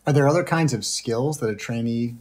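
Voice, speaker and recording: A man's voice: medium pitch, brisk, a little mellow. A man hosting an interview podcast, asking questions in a conversational way.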